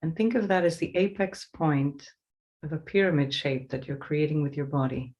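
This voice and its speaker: A woman leading a guided meditation as a teacher, giving slow, soothing instructions with pauses. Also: sits low, a little mellow.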